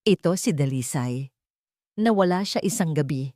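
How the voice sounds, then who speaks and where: A woman's voice: low-pitched, lively intonation, moderate pace, dark tone, dry recording. Sad, pleading street kid, done by female storyteller, earnest audio-drama read, very dry. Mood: grieving. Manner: earnest, plaintive. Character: sad, pleading street child mourning an older sister.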